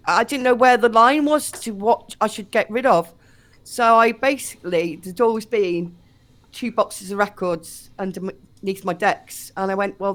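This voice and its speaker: Woman, mid pitch, casual chat, personal anecdotes, stream guest.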